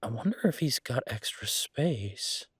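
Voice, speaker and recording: A man playing an easygoing, affectionate restaurant owner in a roleplay audio drama, chatting casually as if thinking out loud to his partner. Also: medium pitch, expressive, unhurried, husky, no echo, studio quality. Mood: musing, persuasive. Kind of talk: boyfriend roleplay audio drama, one-sided conversation.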